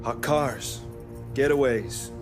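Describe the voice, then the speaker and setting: An actor playing an easygoing, chummy, shady mechanic in a video game, talking in a folksy way. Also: male voice, medium pitch, slow pace, music in the background, close to an everyday voice. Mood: chummy, sly. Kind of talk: video game cutscene acting.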